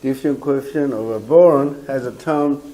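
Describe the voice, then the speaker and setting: An older man, a native Korean speaker with a Korean accent, giving a university lecture, hoarse from a cold and talking haltingly with many fillers, recorded by a distant camera in a lecture room. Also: mid-range voice, mellow, slight background noise. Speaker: professor. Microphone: far camera.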